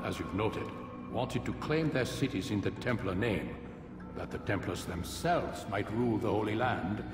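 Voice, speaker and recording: A man playing a grave, wise master of an assassin order in a video game, speaking in measured, solemn oratory. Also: fairly low pitch, close to an everyday voice. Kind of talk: dramatic video game dialogue. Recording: echoey hall, distant, music behind.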